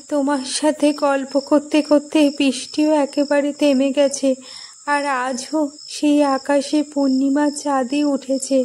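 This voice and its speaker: High-pitched kindly storytelling grandmother woman acting. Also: a little mellow, slight background noise, rough recording. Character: kindly grandmother telling bedtime ghost stories. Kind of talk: storytelling in a cartoon.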